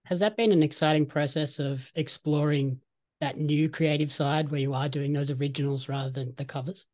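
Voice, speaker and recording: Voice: medium pitch, steady tone, normal speed, a little mellow. An interviewer asking questions in a friendly, encouraging way.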